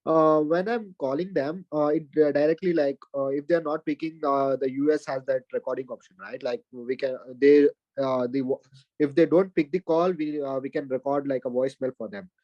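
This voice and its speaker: A man with an Indian accent asking questions on a group video call, halting with many fillers and restarts. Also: mid-range voice, fairly even intonation, no echo, lo-fi sound. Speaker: caller in a coaching group.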